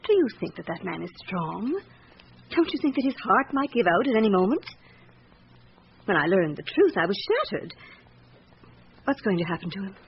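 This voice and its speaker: An actress playing a brittle, sharp-tongued wife and mother in a radio drama, suspicious and easily affronted. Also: mid-range voice, sing-song, normal speed, pauses often. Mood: agitated. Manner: sharp-tongued, indignant, haughty. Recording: dull, noisy old radio recording.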